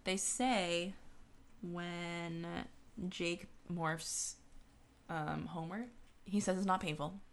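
A woman's voice: fairly low pitch, fairly even intonation, drawn-out words. A woman co-hosting a podcast in a casual, science-minded discussion, reasoning aloud with questions and checking for agreement. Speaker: podcast co-host.

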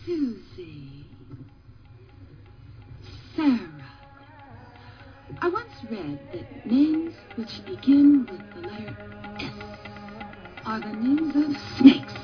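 A woman's voice: a little high, very animated, drawn-out words, long pauses, exaggerated voice. An actress playing a catty, teasing young woman in a film scene. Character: catty young woman landlady's tenant-mate. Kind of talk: film dialogue. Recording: dull lo-fi film audio with music.

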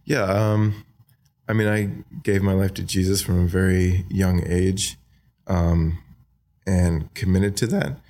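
A young man from Australia with an American accent, talking softly and hesitantly as a podcast guest, with many fillers. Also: low-pitched, flat delivery, slow pace. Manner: soft-spoken, hesitant, many ums and likes. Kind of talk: casual interview talk.

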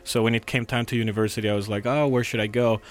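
A man's voice: medium pitch, fairly even intonation, fast talker. Man in his thirties. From Colombia. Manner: friendly, rambling with fillers. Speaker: filmmaker guest. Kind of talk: casual interview chat.

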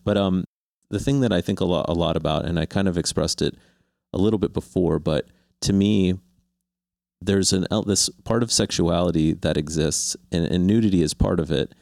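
Deep-voiced, thoughtful male interviewer. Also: gravelly, darkish tone, dry recording, clean studio sound. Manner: halting, rambling, thoughtful questions. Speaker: interviewer, host. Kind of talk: conversational long-form interview.

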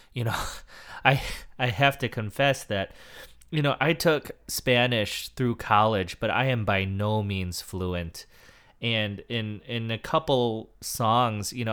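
A composer man explains his craft in an interview, thinking aloud with frequent fillers. His voice sits somewhat low, and his delivery is measured and considered. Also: a bit bright, clean tone. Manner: thoughtful, hedging with fillers. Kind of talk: reflective interview talk.

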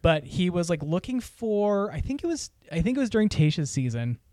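A man's voice: high voice, big pitch swings, a little fast, a little mellow, no room sound. Chatty man with a dry close sound. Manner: chatty, mimics TV host voices in quotes. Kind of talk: casual chat.

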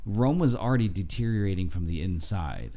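A man with a very low, deep voice and a soft, mellow tone. He talks in an earnest, lecturing way during a relaxed conversation. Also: a little fast. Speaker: podcast co-host. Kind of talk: casual chat.

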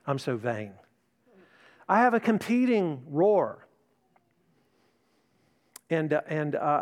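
An older man preaching a sermon to a congregation, earnest and emphatic, often repeating words as he builds a point. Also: fairly high pitch. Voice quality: mentions tinnitus, no vocal trait. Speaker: pastor.

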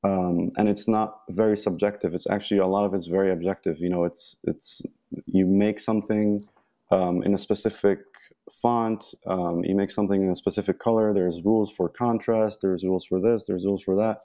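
A man's voice: deep voice, steady tone, brisk, dark tone. A young man from Egypt, a podcast guest talking casually with many ums and restarts. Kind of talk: casual interview talk.

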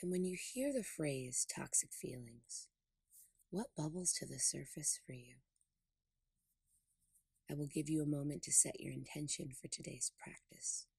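A woman with a low, breathy voice leads a guided meditation. She speaks in short, deliberate, soothing phrases. The sound is close and dry, with no room around her. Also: mellow, dry recording. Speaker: podcast host and meditation guide.

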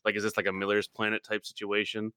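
A man hosting a podcast, chatting casually with an old friend and teasing him between laughs. His voice is bright and forward, and the sound is close and dry with no room around it. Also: lowish voice, completely flat delivery, quick pace, clean tone, no echo. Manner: joking, teasing, laughs often. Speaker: podcast host. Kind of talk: casual chat.

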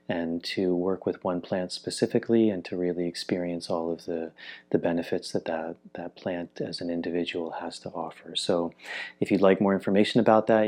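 A man with a low-pitched voice talks as the host of a podcast. His delivery is conversational, in the plain style of an introduction or an interview. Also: a little mellow.

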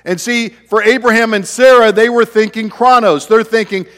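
A man's voice: sits high, fairly even intonation, moderate pace, a bit bright, in a large hall. A man in his fifties preaching a sermon as pastor, in a conversational way with chatty asides to the congregation. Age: 50s. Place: church sanctuary.

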